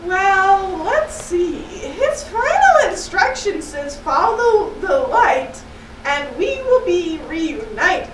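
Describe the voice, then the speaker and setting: A woman voicing an eager, excitable young puppet sailor in a children's show, with emphatic, exaggerated delivery. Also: very high-pitched, slow pace, exaggerated voice. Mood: curious. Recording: roomy echo, slightly distant mic, faint noise.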